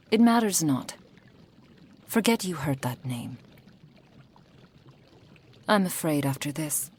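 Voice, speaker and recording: A woman's voice: low-pitched, darkish tone, slight background noise, full character voice. A woman performing an ASMR roleplay as a menacing, possessive fox-spirit witch, cruelly affectionate and condescending, often dropping into whispers. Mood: evasive, commanding.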